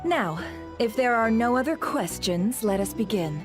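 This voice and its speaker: A woman voicing a poised, formal and teasing hostess in a video game, speaking in measured phrases. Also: a little high, lively intonation, music in the background, natural voice. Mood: brisk, playful.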